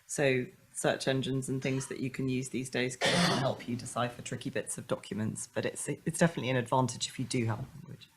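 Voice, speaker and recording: A woman's voice: a bass voice, flat delivery, fairly quick, few pauses, a little hiss or hum. A woman barrister on a panel, answering in a self-deprecating way after a hesitant start.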